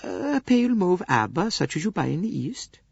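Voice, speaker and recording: A man putting on a kindly, pious Swedish lady with a Swedish accent, her voice raised well above a man's and a little breathy. She speaks softly, earnestly and with careful formality. The recording is dry and dull. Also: high-pitched, big pitch swings, moderate pace, no room sound, voice pushed up, exaggerated voice. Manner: soft, earnest, precise formal phrasing. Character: kindly pious middle-aged Swedish woman, gentle.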